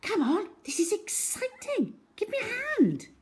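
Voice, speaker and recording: Storybook girl next door , female reader: high, lively, bossy, chatty, excitable. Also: a little slow, full character voice. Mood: excited, urging. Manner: bright, excitable, pushy. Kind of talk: children's storybook character voice.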